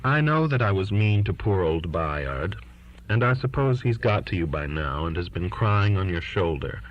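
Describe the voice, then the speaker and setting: A man's voice: low-pitched, big pitch swings, normal speed. A man reading as an earnest, defiant twenty-year-old daughter writing to her father, pleading and insistent, on a lo-fi recording. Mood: appealing. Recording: dull lo-fi recording.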